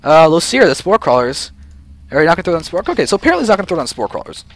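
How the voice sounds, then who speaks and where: A young man giving live play-by-play commentary on a strategy game match, with an excitable, emphatic delivery. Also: on the high side, very fast, no room sound. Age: young adult. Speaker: esports commentator.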